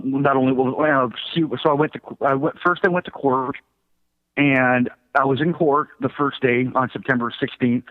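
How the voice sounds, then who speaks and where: A middle-aged man telling his own story as a radio interview guest, in a halting, self-correcting way. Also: medium pitch. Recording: thin phone-like line.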